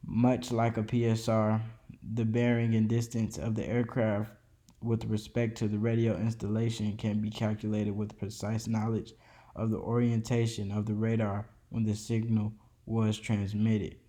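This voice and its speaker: A man's voice: fairly low pitch, monotone, normal speed, mellow. A man reading an educational presentation aloud from a script, with occasional slips and restarts. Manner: reads steadily from a script, with small slips and restarts. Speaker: student presenter. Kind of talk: read-aloud educational narration.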